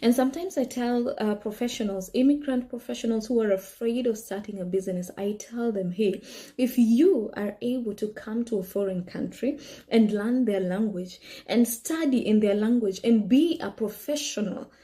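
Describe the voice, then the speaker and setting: A woman's voice: on the high side, moderate pace. A young woman from Kenya, not a native English speaker, telling her personal story earnestly with many "you know"s. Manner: earnest and animated, with frequent "you know" and laughs. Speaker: vlogger.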